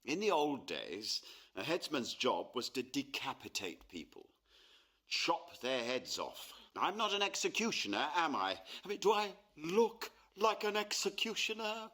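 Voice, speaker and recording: An actor in a radio play voicing a proud, embittered middle-aged painter, acerbic and theatrical. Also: male voice, on the high side, very animated, measured pace, bright, forceful tone, full character voice. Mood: touchy joking. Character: touchy, proud, embittered painter father of grown daughter.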